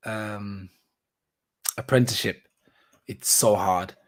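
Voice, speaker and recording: A man's voice: lowish voice, steady tone, takes it slowly. A man in his thirties hosting a podcast, chatting casually with lots of ums, repeated words and restarts. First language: not German. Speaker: podcast host. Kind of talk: casual solo catch-up chat.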